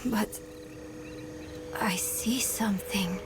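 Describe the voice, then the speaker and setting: An actress playing an awed young woman seer in a video game, hushed and often whispering in wonder. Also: medium pitch, extremely slow, very noisy. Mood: hushed awe. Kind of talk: dramatic video game acting. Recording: loud game music behind.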